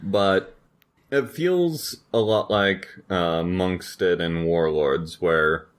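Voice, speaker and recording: A man with a deep, low-pitched voice discusses games as a podcast co-host. He talks casually, in measured phrases broken by pauses as he thinks through his explanation.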